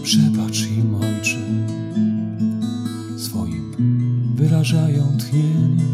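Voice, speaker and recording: A woman performs a solemn, devotional poetic lament in a deep register. The phrasing is very slow, with echoed refrains, and plucked guitar plays loudly behind the voice. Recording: loud plucked guitar accompaniment. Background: guitar music.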